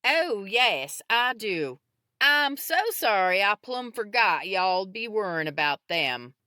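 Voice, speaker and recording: Woman putting on a folksy Texas man, bright-toned. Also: medium pitch, big pitch swings, takes it slowly, clean tone, no room sound, studio quality, pitched above the performer's own voice, exaggerated voice. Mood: apologetic. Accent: Texas drawl. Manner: polite, folksy. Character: friendly, apologetic rural Texan neighbor man.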